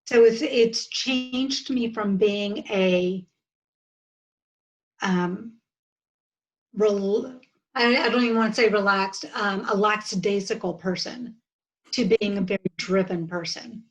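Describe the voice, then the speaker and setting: A woman of about fifty with an American accent, talking earnestly and haltingly as a guest in an interview, often restarting her sentences. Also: mid-range voice, dull audio. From southern United States. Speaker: podcast guest. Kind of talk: reflective interview conversation.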